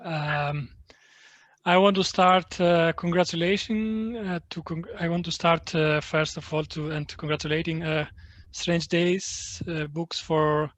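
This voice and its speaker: Halting Albanian-born man, slow and hesitant. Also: a little high, fairly bright tone, no room sound. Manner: halting, many fillers and restarts. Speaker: book translator. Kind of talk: online book fair talk.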